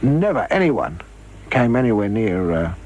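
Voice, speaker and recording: A man with a slightly low voice looks back in an interview. He tells his anecdotes in a measured way, often pausing to find the next phrase. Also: big pitch swings, a little mellow, a little hiss or hum. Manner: halting, measured anecdote-telling. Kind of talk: reminiscing in interview.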